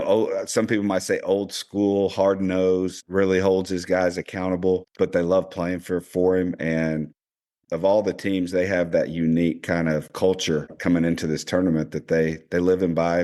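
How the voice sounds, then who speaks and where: Deep raspy man with a cold. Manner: relaxed, rambling, many fillers. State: hoarse from a cold. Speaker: podcast host, former basketball player. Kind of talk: casual sports chat.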